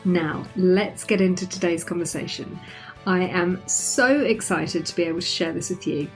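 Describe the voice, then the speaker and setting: A woman's voice: on the low side, moderate pace, faint music behind, poor audio. A woman hosting a podcast interview, chatty and upbeat. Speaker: podcast host.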